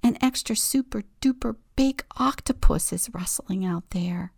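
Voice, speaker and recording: A woman voicing a curious female octopus who whispers excitedly. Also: a little high, slow pace, breathy edge, no room sound, studio quality, pitched above the performer's own voice, heavily acted. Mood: hushed.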